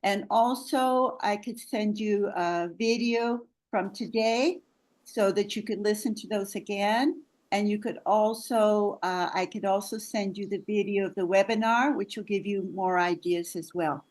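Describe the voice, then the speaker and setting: A woman's voice: mid-range voice, measured pace, darkish tone. A woman English coach hosting an online webinar, encouraging participants with praise over a video call.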